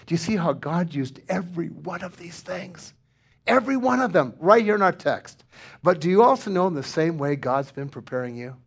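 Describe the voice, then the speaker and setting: A man delivers a sermon in a notably high voice. He leans hard into loud, emphatic phrases, then drops to soft, almost whispered lines. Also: animated, moderate pace, vocal fry, breathy edge. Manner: swings from loud emphasis to hushed near-whispers. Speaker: pastor.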